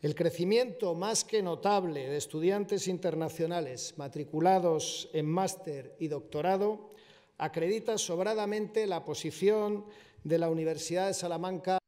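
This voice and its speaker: A man's voice: high voice, normal speed, mellow. A man giving a formal prepared speech. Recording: long hall reverb.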